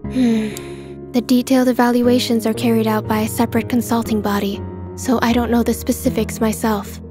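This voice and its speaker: A woman's voice: fairly high pitch, little pitch movement, moderate pace, close to an everyday voice. A woman playing a polite, detached clerk in a manga dub, speaking in a formal, businesslike way. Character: polite, detached female clerk at an appraisal office. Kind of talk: scripted manga dub acting. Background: background music.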